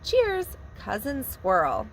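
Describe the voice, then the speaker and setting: A woman's voice: medium pitch, huge pitch swings, takes it slowly, creaky, slight background noise, heavily acted, poor audio. A woman voicing a cheerful, friendly male squirrel cousin as she reads his letter aloud in a storybook. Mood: cheery.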